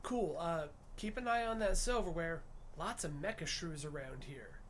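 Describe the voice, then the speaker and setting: A man playing a friendly, laid-back diner server in casual fiction podcast dialogue, obliging and relaxed in manner. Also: fairly high pitch, moderate pace, a little hiss or hum, natural voice. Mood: easygoing, friendly.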